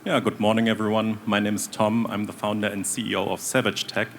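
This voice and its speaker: A man's voice: lowish voice, flat delivery, brisk, slight background noise. A man introducing himself as a company founder on a conference panel, lightly joking.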